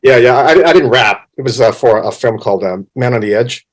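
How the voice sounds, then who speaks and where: A man's voice: medium pitch, a little fast. A man from Canada, a guest on a podcast, answering casually with frequent laughs and restarts. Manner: relaxed, laughs often, many restarts. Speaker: film composer, guest. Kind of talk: casual interview.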